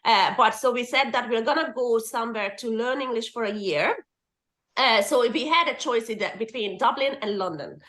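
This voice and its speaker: Woman in her 40s, bright higher register, talks in loose rambling restarts. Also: normal speed. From Hungary. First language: Hungarian. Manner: rambling, many restarts, lively. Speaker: guest, permanent makeup artist. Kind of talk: casual interview chat.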